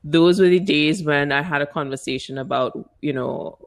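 Animated Trinidadian businesswoman. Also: sits low, moderate pace. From Trinidad and Tobago. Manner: animated, laughs often, stretches words for emphasis. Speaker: marketing agency owner. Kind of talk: interview answers.

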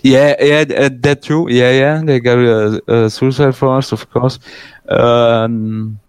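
A man's voice: mid-range voice, fairly even intonation, takes it slowly, smooth, no room sound. A man chatting casually, hesitant, full of fillers and restarts, swearing freely. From Germany. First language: German. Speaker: guest. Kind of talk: casual conversation.

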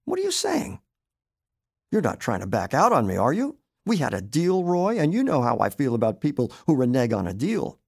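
Connie, a sharp indignant wife, as a man puts her on. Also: medium pitch, huge pitch swings, moderate pace, vocal fry, slightly rough, pitched above the performer's own voice, exaggerated voice. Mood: accusing. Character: anxious, demanding wife, adult woman.

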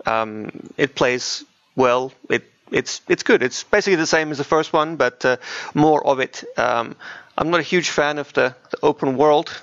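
A man's voice: a little high, normal speed, fairly bright tone. A man co-hosting a podcast, chatting casually about video games in a chatty, dryly joking way. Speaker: podcast co-host. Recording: muffled, roomy home recording.

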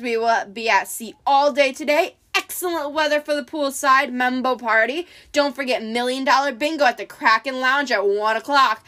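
A woman's voice: sits high, sharp tone. A woman voicing a chirpy, upbeat male cruise ship announcer in a storybook.

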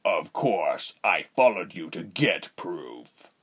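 A man's voice: deep voice, sing-song, slow pace, a bit bright, breathy edge, pitched below the performer's own voice, heavily acted. A man voicing a cruel, arrogant general in a fantasy storybook, with a menacing, commanding manner. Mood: cold contempt. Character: cruel, arrogant male general, wicked villain.

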